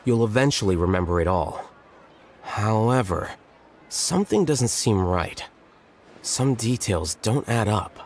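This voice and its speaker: An actor playing an aloof young male scholar in a game, speaking calmly and curtly. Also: lowish voice, slight background noise. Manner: calm, measured, curt. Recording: game audio with music.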